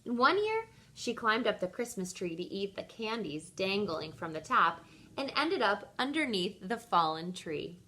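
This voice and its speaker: Bright-voiced woman reading a children's book slowly. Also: mid-range voice, big pitch swings. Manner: deliberate, storytelling emphasis for young listeners. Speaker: teacher reading aloud. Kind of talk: expressive children's picture-book read-aloud.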